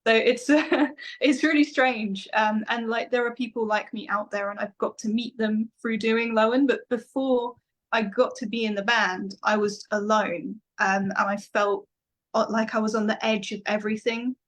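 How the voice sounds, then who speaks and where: A woman's voice: on the high side, fairly even intonation, normal speed. A young adult woman from England, a musician guest, talking in a casual interview with many fillers and restarts. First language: Farsi. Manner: reflective, with frequent fillers and restarts.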